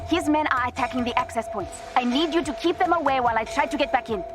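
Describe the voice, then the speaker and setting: Actress as sharp young female tech genius, fast, urgent, video game, music behind. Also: sits high, lightly acted. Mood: urgent, focused. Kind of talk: cinematic video game acting.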